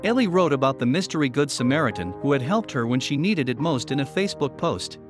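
A man's voice: mid-range voice, lively intonation, quick pace. A man reading scripted story narration with a dramatic storytelling delivery. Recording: voice-over with music bed. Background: music.

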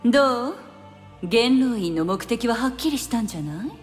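A woman plays a haughty game witch who teases and condescends. Her voice is a bit high and swings widely, turning curt and impatient. It rings in a long reverb with music behind. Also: lively intonation, moderate pace, close to an everyday voice. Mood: cool, knowing. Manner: condescending, teasing, curt and bossy. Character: haughty, impatient, sultry adult female witch. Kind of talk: anime game voice acting.

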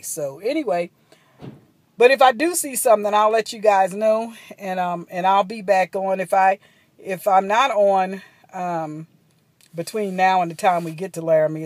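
A woman's voice: lowish voice, no room sound. Chatty woman vlogging from a parked van. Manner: chatty and rambling, many ums and asides. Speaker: travel vlogger. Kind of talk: casual vlog chat to camera. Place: parked minivan at a gas station. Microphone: phone camera at arm's length.

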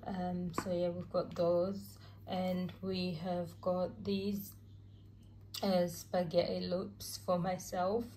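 Slow, halting woman with a flat voice. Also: on the low side, slight background noise. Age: adult mother. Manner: unhurried, many ums and uhs. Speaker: vlogger showing a grocery haul. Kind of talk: casual chat to camera. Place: home, at a table with groceries.

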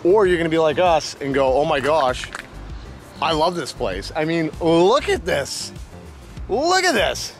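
A man's voice: fairly high pitch, big pitch swings, unhurried, soft background music. A man with a Maine accent, hosting a casual vlog outdoors and chatting loosely with many fillers and restarts. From Maine, USA. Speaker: vlog host. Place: outdoors in a town.

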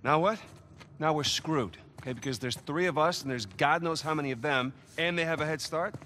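A brash treasure-hunting brother. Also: male voice, fairly high pitch, fairly quick, sharp tone, slight background noise. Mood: exasperated. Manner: brash, urgent, sardonic. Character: wry older brother treasure hunter, impulsive. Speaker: adventurer. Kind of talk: video game cutscene acting. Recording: game audio with music behind.

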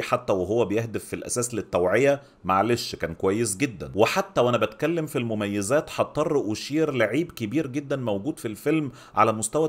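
A middle-aged man with an Egyptian accent giving an opinionated film review to camera, speaking close to a microphone in a small home studio. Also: mid-range voice. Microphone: microphone on a boom arm, close up.

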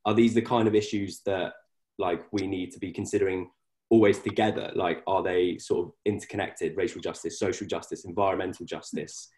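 A man's voice: low voice, fairly even intonation, fairly quick, a little mellow. Hedging young man moderating a panel. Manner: loose, hedging, with many fillers like sort of. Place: living room at home.

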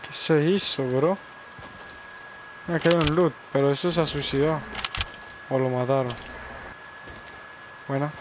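A man from El Salvador is streaming a shooter game live, talking fast with a teammate and greeting viewers. His voice sits a bit high, and he keeps dropping a half-English catchphrase. Loud game sounds play under his voice. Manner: excitable, repeats a catchphrase, switches to English. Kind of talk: live gameplay chat. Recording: noisy game audio behind.

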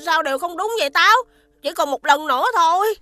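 A woman voicing a curious, excitable little girl in a cartoon. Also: very high-pitched, fairly quick, over music. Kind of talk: cartoon voice acting.

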